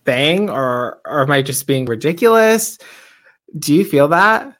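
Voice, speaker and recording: A man co-hosting a podcast in casual chat. He has a naturally high voice and talks reflectively, growing animated when he stresses a point. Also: unhurried. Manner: reflective, self-aware, animated when emphatic. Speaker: podcast co-host.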